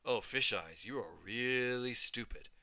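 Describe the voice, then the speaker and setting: A man's voice: measured pace, bright, forceful tone, no echo. A man doing a growly girl bully. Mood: taunting. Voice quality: deep growly voice. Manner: taunting, sneering.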